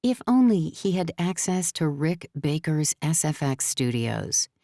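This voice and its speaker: A woman's voice: deep voice, big pitch swings, measured pace, dark tone, no room sound, studio quality. A woman reading scripted story narration as an audiobook narrator.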